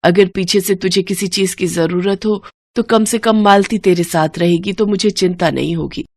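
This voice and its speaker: A woman playing a humble, devout, hardworking mother of sixty, gentle and deferential, in a moral cartoon. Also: mid-range voice, normal speed, dry recording, lightly acted. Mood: weary, caring.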